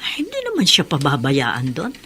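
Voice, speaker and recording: A woman's voice: deep voice, huge pitch swings, moderate pace, pushed and bright, slight background noise, exaggerated voice. A woman playing a stern, grieving mother of a jailed son in a Filipino radio drama. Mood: curt, guarded.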